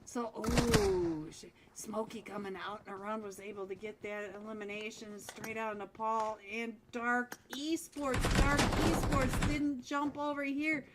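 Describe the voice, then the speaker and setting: A woman's voice: fairly high pitch, measured pace, very noisy. Chatty woman casting a mobile esports match. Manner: excited, chatty, talks to viewers. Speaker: esports caster. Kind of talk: live game commentary.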